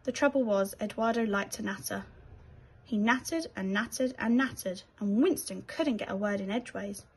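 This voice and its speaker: Slightly husky British woman reading a story. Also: medium pitch, normal speed. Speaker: teacher reading to children. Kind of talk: expressive children's storybook narration.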